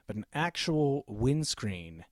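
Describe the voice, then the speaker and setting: A self-assured man in a small home studio holds forth on microphones in an emphatic, lecturing way. His pitch swings widely from word to word. He speaks close to a studio mic in a dry room with no echo. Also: very animated, slow. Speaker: microphone reviewer. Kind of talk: opinionated gear review to camera. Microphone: studio microphone, switched during the video.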